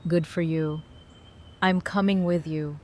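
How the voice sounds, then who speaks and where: A woman's voice: low-pitched, steady tone, slow, a little mellow. A woman playing a tough, blunt, teasing but loyal friend in an audio drama, with casual, salty delivery. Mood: firm, insistent. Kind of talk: fan audio drama acting.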